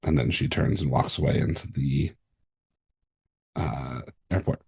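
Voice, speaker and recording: A man narrating as game master of a tabletop role-playing game, in a relaxed, hesitant way with fillers. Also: rumbling low, a little slow, creaky, breathy edge.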